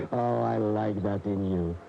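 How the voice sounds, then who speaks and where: An actor playing a sly, courteous, teasing gentleman with a Spanish accent in a radio drama. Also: lowish voice, gravelly, heavily acted. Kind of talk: 1980 radio drama acting. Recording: muffled, noisy 1980 AM radio.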